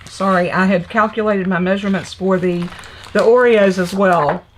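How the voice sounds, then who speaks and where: A woman's voice: medium pitch, fairly even intonation, moderate pace. Woman with a congested, scratchy voice. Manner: chatty, step-by-step explaining while working. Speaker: papercraft demonstrator. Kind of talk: live crafting tutorial.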